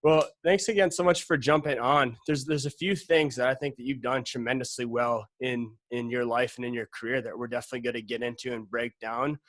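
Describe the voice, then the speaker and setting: A man's voice: medium pitch, fairly even intonation, a little fast, hardly any pauses, fairly bright tone, no room sound. A man hosting an interview in a casual, conversational and informal way, talking around his questions wordily. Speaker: interview host.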